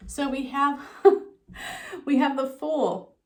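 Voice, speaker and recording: A middle-aged woman giving a relaxed, talking tarot reading to her viewers, her voice a little froggy from a slight sore throat, with frequent ums and you-knows. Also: high-pitched, drawn-out words. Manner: soft conversational, with frequent um and you know. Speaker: tarot reader.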